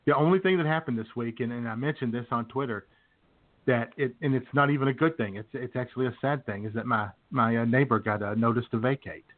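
A man's voice: medium pitch, fast talker. A man co-hosting a comedy podcast in casual, joking banter with a laid-back, drawling manner. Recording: thin phone-like band-limited audio.

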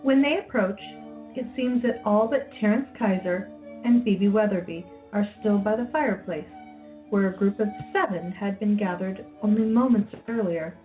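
A woman's voice: medium pitch, normal speed, narrow, tinny audio, faint music behind. A woman narrating a radio play, reading scripted storytelling passages.

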